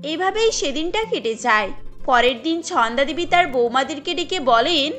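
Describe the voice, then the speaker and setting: A woman's voice: high-pitched, animated, moderate pace, fairly bright tone, soft background music. A woman narrating a scripted family cartoon story.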